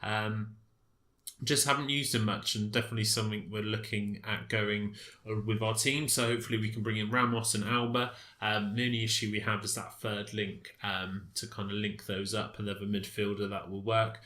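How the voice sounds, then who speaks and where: A man's voice: on the low side, level tone, moderate pace, sharp tone, reverberant. A man with a stuffy, congested voice from a cold, casually commenting on his game with many fillers and restarts. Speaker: gaming commentator.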